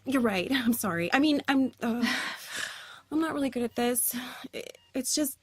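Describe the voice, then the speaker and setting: An anxious, apologetic young woman stumbles and restarts as she talks in an audio drama. Her voice is fairly high, and the recording is close and dry. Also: animated, slightly rough, no room sound, heavily acted. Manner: halting, apologetic rambling. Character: nervous, rambling young woman friend.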